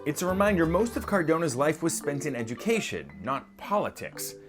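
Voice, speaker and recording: A male news reporter narrating a piece and asking interview questions in a lively, playful manner. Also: a little high, brisk, music in the background.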